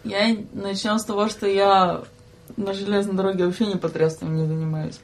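Young woman, mid-pitched voice, podcast guest, animated slangy storyteller in relaxed conversation. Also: normal speed. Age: young adult. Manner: animated, slangy, giggly storytelling with acted-out quotes. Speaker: podcast guest, student train attendant. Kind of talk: casual chat, telling anecdotes.

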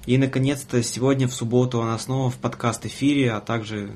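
A man's voice: mid-range voice, fairly even intonation, fast talker, darkish tone. A man hosting a casual radio interview show with playful, teasing banter.

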